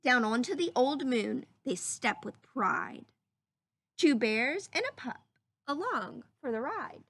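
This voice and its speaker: Female read-aloud teacher, high voice, very animated intonation, stretches key words and checks in with young listeners. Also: slow pace, no echo. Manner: animated storybook delivery, drawing out words, asking questions. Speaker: teacher reading a picture book aloud to children. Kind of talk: expressive children's read-aloud with questions to listeners.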